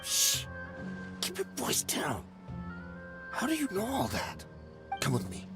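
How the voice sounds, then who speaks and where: A man's voice: sits high, huge pitch swings, takes it slowly, exaggerated voice. A man voicing a shifty, nervous smuggler in a video game, talking in an evasive, wheedling way. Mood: hushed, suspicious. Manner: wheedling, evasive, hushed then pleading. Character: shifty, nervous male weapons smuggler in desert corps. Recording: game music behind the voice.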